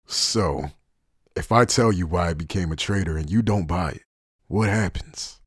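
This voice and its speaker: A man acting in an anime fan dub as a guarded, cynical former soldier turned traitor, with a low, husky voice and a wry, deliberate delivery. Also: no room sound, studio quality. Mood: wry, amused.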